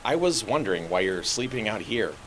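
Lowish-voiced awkward stranger in noisy rain. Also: male voice, very animated, brisk, fairly bright tone. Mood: nosy, casual. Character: awkward, chatty male stranger on the street at night. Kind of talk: naturalistic audio drama dialogue. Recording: noisy rainy street scene with clicks.

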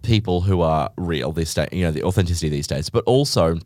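Deep-voiced aussie guy hosting a podcast, swears a lot, super animated, dry close sound. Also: dry recording. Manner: sweary, camp, quick banter with laughs. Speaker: podcast host. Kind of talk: casual chat.

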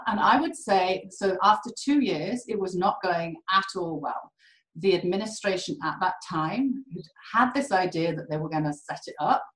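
A British woman telling candid stories in an interview, animated and quick to laugh. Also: fairly low pitch, reverberant, poor audio. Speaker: core facility director. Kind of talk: candid conversational storytelling.

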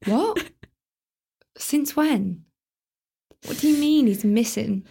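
A woman's voice: on the high side, lively intonation, takes it slowly, vocal fry, mellow, slightly rough, full character voice. A young woman acting a brash, sarcastic teenage girl in a radio play, teasing and showing off. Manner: sarcastic, teasing, attention-seeking.

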